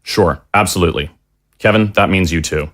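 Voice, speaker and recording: A man's voice: low voice, normal speed, a bit bright. A man playing an earnest, plain-spoken father searching for his son in an audio drama. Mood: relieved, grateful.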